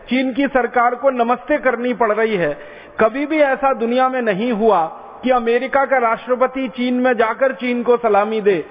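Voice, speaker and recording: A man from India giving an impassioned public lecture, driving home each point with repeated parallel phrases. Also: extremely high pitch. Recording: tinny phone-like sound in a reverberant hall.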